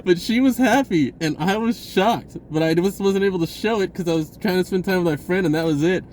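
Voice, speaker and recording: A man telling a personal story in a casual, rambling vlog style, acting out bits of dialogue in an animated way. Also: high voice, fairly quick, slight background noise. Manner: animated, acts out dialogue, chuckles often.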